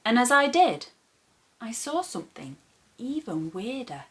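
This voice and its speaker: Bright, unhurried woman reading a storybook. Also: fairly high pitch, big pitch swings. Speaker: storyteller, teacher reading aloud. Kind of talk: expressive children's storybook narration.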